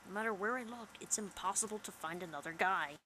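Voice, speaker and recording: Bright-voiced woman reading a visual novel. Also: mid-range voice, quick pace, a little hiss or hum, poor audio. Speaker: gaming channel host. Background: game music.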